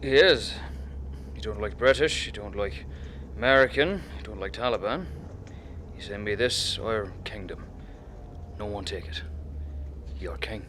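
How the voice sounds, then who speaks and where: An actor playing a guarded Afghan immigrant cab driver in a radio drama, speaking hesitant, terse English with an Afghan accent. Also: male voice, lowish voice, unhurried, long pauses, fairly bright tone, slight background noise. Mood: quiet, matter-of-fact. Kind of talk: naturalistic radio drama acting.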